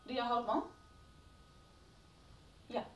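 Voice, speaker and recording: An actress playing a sharp-tongued young woman arguing with her friend. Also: on the high side, fairly even intonation, takes it slowly, dull audio. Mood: blunt.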